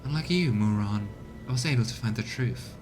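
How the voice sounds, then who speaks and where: Man voicing a solemn, scornful low-voiced alien elf seer. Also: expressive, brisk, close to an everyday voice. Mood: contemptuous. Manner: solemn, archaic, haughty. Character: scornful, grieving male alien elf farseer. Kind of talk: voiced reading of game dialogue. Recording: music behind the voice.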